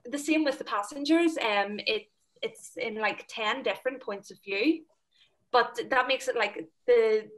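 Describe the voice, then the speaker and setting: A woman's voice: on the high side, measured pace, rough recording. A young woman with a Northern Irish accent chatting on a video call from home, enthusiastic and full of fillers. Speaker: panel guest. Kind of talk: casual video call chat. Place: home room indoors. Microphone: laptop webcam on video call.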